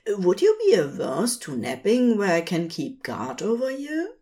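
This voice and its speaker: A woman voicing a fussy, tender, anxious gentleman in a storybook reading, with polite, formal, hesitant speech. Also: medium pitch, huge pitch swings, a little slow. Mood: tender, coaxing.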